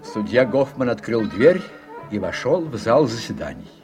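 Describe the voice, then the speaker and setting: A man's voice: medium pitch, big pitch swings, moderate pace, darkish tone. A man reading scripted narration for a radio play. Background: music.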